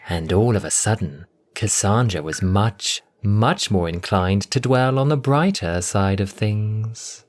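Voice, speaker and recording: A narrator reading a scripted story in an expressive, theatrical, dramatic audiobook style. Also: deep voice.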